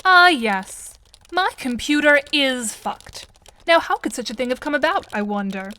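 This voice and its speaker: Woman as a wry teen girl, highish voice, formal wording, sardonic, composed, audio drama. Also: expressive, measured pace, bright, forceful tone, exaggerated voice. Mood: dry, sardonic.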